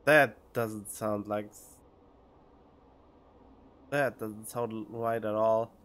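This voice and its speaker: A man's voice: lowish voice, fairly even intonation, extremely slow, a bit bright, a little hiss or hum. A man giving casual let's-play commentary as a game streamer. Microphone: close studio condenser microphone.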